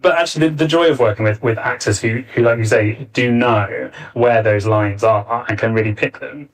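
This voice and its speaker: A man's voice: mid-range voice, moderate pace. Male panel chair, picked up from afar. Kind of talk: moderating a panel discussion. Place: arts centre venue, live panel. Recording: distant backup recording of live panel.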